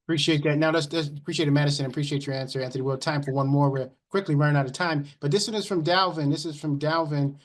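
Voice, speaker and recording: A man's voice: a little high, steady tone, fast talker, hardly any pauses. A man hosting a live interview, upbeat, reading out viewer questions to his guest. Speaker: show host.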